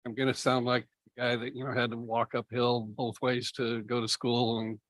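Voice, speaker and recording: A man's voice: medium pitch, little pitch movement, fairly bright tone, no room sound, low-quality recording. Plain-spoken man presenting a city budget. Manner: measured, explanatory, many fillers. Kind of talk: public budget meeting presentation. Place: meeting room.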